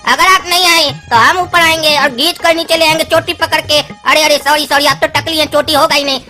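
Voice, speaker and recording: A woman's voice: high voice, steady tone, brisk, natural voice. A woman voicing a cheeky, bullying little boy in a comic cartoon, brash and mocking, with a Bihari accent. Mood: brash, threatening. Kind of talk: cartoon skit dialogue. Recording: music clearly behind the voice.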